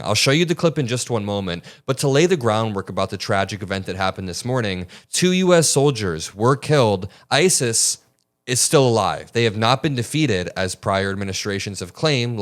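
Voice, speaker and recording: A man delivering emphatic, argumentative political news commentary straight to his viewers. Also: mid-range voice.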